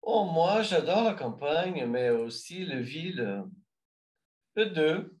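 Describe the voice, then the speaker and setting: Slow, hesitant Brazilian-accented man. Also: a little high, lively intonation, a little mellow. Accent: Brazilian Portuguese. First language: Brazilian Portuguese. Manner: hesitant, searching for words. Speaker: guest, French learner. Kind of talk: interview answers.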